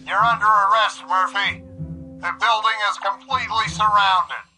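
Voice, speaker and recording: A man's voice: sits high, steady tone, moderate pace, over music, lightly acted. A man playing a stern police officer. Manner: authoritative, ordering. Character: stern male police officer making an arrest. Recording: echoey long reverb.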